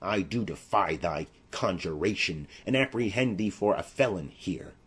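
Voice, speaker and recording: A man's voice: low voice, expressive, moderate pace, fairly bright tone, slightly rough, heavily acted. A man playing a proud, mournful young noble who declaims formal verse in a tragedy audiobook. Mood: defiant. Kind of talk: classical tragedy audiobook acting.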